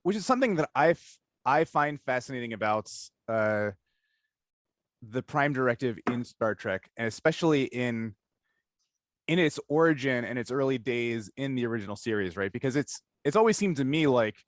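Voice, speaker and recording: A man hosting a casual interview, speaking in a halting, self-correcting way. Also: mid-range voice, lively intonation, no echo, clean studio sound. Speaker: podcast host and interviewer. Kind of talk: casual interview intro and chat.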